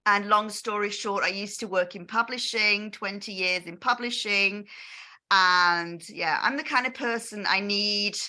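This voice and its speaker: Bright chatty woman with Manchester accent. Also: mid-range voice, unhurried. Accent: northern English, Manchester area. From Austria, grew up in England. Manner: chatty, friendly, rambling asides. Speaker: host of online product session. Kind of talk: presenting on a video call. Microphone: video call.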